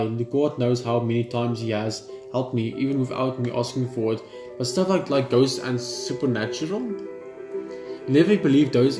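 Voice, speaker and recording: A man reading a visual novel's text aloud in a let's play, stumbling and rereading now and then. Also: medium pitch. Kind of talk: let's play read-aloud narration with asides. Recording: roomy echo with game music behind. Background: video game music.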